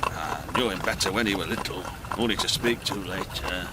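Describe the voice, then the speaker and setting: A man's voice: deep voice, moderate pace, very noisy, lightly acted. An actor playing an inquisitive, plain-spoken Yorkshire local man in a naturalistic radio drama, blunt and colloquial. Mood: gossipy, probing.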